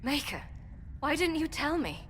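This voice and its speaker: A woman's voice: high-pitched, sing-song, moderate pace, fairly bright tone. A woman voicing a blunt, outspoken ally in a video game, direct and urgent in delivery. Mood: alarmed. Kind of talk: video game dialogue acting. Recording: long reverb, faint background noise.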